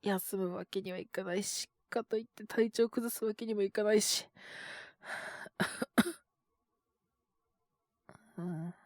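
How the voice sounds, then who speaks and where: A man's voice: high-pitched, steady tone, slow, long pauses, dry recording, studio quality. A man acting an earnest, polite young junior coworker who is sick with a cold, coughing and sighing in an intimate Japanese voice drama. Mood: weary, unwell. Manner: polite and deferential on the phone, sighing, murmuring to himself.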